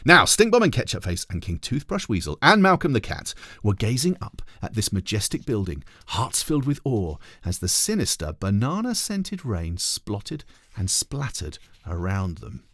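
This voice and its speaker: A man reading a children's storybook aloud as a teacher, in an expressive narrating style. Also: lowish voice, moderate pace, no room sound.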